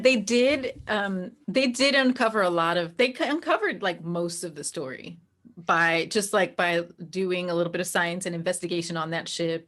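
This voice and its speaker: A woman chatting casually as an interview guest, animated and quick to laugh, with a storyteller's way of talking. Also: lowish voice. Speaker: interview guest, tabletop game master. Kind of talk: casual interview chat, telling anecdotes.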